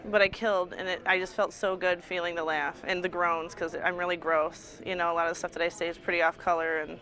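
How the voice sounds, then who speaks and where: A woman's voice: fairly low pitch, little pitch movement, quick pace, pushed and bright. A woman in her thirties from Missouri, a comedian, speaking frankly and bluntly in an interview. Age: 30s. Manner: frank, blunt about crude humor. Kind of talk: casual interview.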